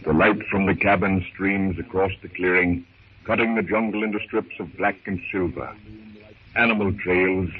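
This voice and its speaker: A man's voice: low-pitched, moderate pace, husky. A man narrating a 1930s radio adventure serial in a dramatic, scripted style. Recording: tinny, noisy 1930s radio recording.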